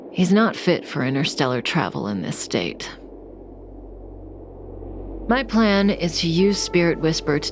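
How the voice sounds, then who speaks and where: An actress playing a calm, enigmatic, seductive woman in a video game cutscene, speaking deliberately and with assurance. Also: low-pitched, creaky.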